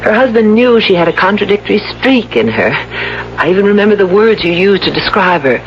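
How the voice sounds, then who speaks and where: Frightened wife in a muffled old radio drama, played by an actress. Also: female voice, mid-range voice, expressive, brisk, a little hiss or hum, natural voice. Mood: wary, accusing. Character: frightened young wife, secret coward behind daredevil front. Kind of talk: 1970s radio drama acting.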